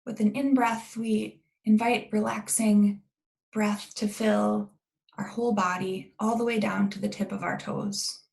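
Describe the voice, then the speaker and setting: A woman leading a guided meditation in a calm, gentle, unhurried way with long pauses and a creaky voice. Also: mid-range voice.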